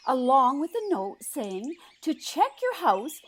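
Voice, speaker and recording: A slow, high-pitched fairy godmother played by a woman, sugary and doting. She is blithely cheerful and meddling, in the style of a comedic fairytale audio drama. Birds chirp behind her. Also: very animated, heavily acted. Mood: coyly pleased. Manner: sweet, drawn-out, doting.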